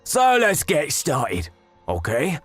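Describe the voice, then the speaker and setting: An actor playing a stern, pushy police detective in an animated manga drama, businesslike and pressuring. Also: male voice, a little high, slow, husky, soft background music, heavily acted.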